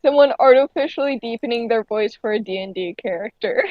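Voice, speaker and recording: A woman's voice: on the high side, brisk. A woman chatting and joking casually on a voice call through dying headphones. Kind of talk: casual chat. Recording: quiet, dull headset audio.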